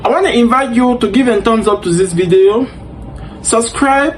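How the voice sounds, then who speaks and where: A man whose first language is French, hosting a tech tutorial, unhurried and upbeat, thinking aloud with fillers and self-corrections. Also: very high-pitched, a little hiss or hum. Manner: upbeat, keeps saying things are awesome. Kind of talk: screen-recorded coding tutorial.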